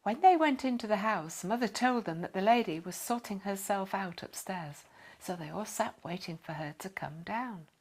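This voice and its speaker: A woman reading a children's story aloud in expressive, scripted narration. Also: mid-range voice, normal speed. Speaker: story reader.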